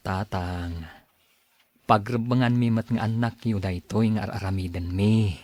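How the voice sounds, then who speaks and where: A man's voice: fairly low pitch, steady tone, measured pace, a little mellow, dry recording. A man playing a devoted, respectful grown son in a radio drama, speaking earnestly. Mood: grateful, earnest. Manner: earnest, deferential.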